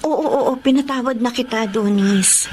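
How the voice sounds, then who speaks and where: A woman playing a spirited, expressive young woman in a radio drama, with a Filipino accent. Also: a little high, moderate pace, slight background noise, close to an everyday voice. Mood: firm, exasperated. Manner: exclamatory, expressive, melodramatic. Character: dramatic, spirited young woman named Gigi, lovelorn but firm.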